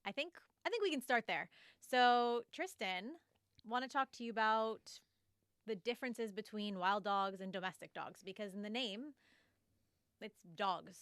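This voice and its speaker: Bubbly woman host, bright clear voice. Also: medium pitch, moderate pace, dry recording, studio quality. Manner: bubbly, giggly, chatty. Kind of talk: casual podcast chat.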